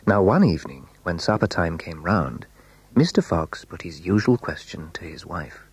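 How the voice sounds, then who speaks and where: A man narrating a scripted children's story in a measured, dramatic way, letting phrase endings fall hushed. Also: deep voice, very animated, slightly rough, no echo.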